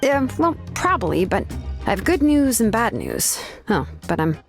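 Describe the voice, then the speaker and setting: A woman playing a frank, laid-back young craftswoman in a video game, explaining things in a casual, measured, matter-of-fact way. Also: on the high side, big pitch swings, soft background music.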